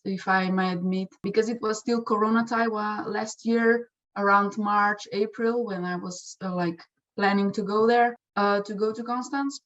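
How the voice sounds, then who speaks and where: Young woman with hesitant, halting speech. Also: mid-range voice, highs cut off. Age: young adult. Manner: hesitant, many restarts and fillers. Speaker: exchange student. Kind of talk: casual spoken testimonial.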